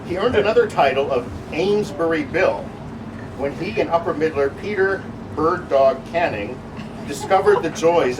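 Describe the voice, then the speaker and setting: A man reading a humorous tribute speech at a celebration, with wry, joking asides. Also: fairly high pitch. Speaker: speech reader at a birthday celebration. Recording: distant mic, loud room noise.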